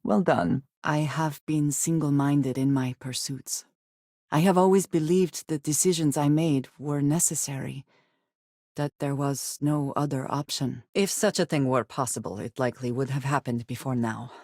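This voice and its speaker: A woman playing a guarded, earnest space explorer in a video game, speaking in measured, formal and precise phrases. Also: rumbling low, warm tone, no echo, studio quality. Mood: serious, guarded. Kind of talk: video game character dialogue lines.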